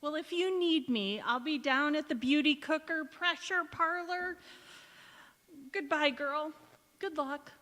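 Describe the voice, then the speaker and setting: A folksy, bossy best pal from a vintage radio sitcom acted by a woman, with a high voice. She coaxes and prods theatrically, and her words ring through a big hall. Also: measured pace, sharp tone. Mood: encouraging. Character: brisk, supportive best-friend housewife, wry and folksy. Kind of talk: golden-age radio sitcom acting on stage. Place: large indoor hall, live stage performance. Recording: echoey hall, community theatre stage.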